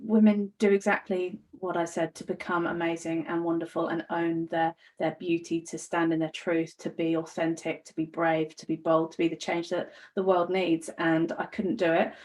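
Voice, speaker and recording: A woman's voice: fairly low pitch, level tone, normal speed, few pauses, darkish tone. A woman with an English accent, talking as a life coach and guest in a casual podcast chat, earnest and enthusiastic with frequent ums and restarts. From United Kingdom.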